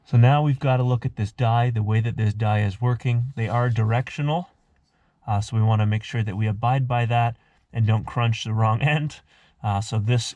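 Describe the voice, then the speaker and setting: Male DIY host, mid-pitched, casual explanatory delivery, slight background noise. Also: warm tone. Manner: methodical, explanatory. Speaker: host of a DIY car repair tutorial. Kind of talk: casual hands-on tutorial narration.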